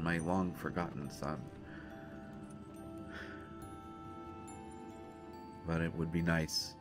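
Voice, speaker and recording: A brooding young prince, acted by a man in a fantasy roleplay audio, with a low, deep voice. He speaks earnestly and dramatically to one companion, with halting pauses. Music plays clearly behind him. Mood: tender, hesitant. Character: brooding young male prince at war with his father. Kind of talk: fantasy roleplay audio acting addressed to the listener.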